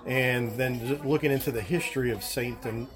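A middle-aged or older man from the New Orleans area, giving casual, halting answers as an interview guest. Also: medium pitch, steady tone, music in the background. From New Orleans area, Louisiana. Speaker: interview guest, travel association president. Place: at a festive party. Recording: busy party chatter behind voice.

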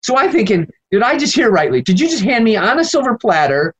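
A man's voice: high-pitched, very animated, quick pace, a bit bright, breathy edge. An American man, a priest being interviewed, talking emphatically and indignantly with rambling asides. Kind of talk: animated conversational interview answers.

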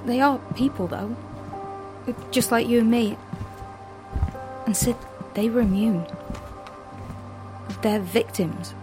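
A young female rebel in an audio drama. Her voice is a little high, with a wary, questioning edge and dry sarcasm. Music runs underneath her lines. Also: slow pace, pauses often, rough recording. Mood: sceptical, troubled.

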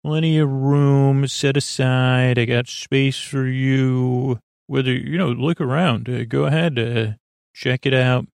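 A man with a creaky, lulling voice hosting a sleep podcast, meandering through halting, tangential bedtime talk. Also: mid-range voice, steady tone, no room sound, studio quality. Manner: meandering, tangential, halting, self-deprecating nonsense. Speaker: podcast host. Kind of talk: rambling bedtime monologue to help listeners sleep.

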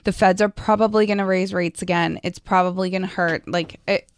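Smooth-voiced chatty middle-aged woman. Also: on the low side, fairly even intonation, brisk, no echo. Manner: chatty, opinionated, laughs easily. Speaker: co-host. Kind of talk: casual live-stream chat.

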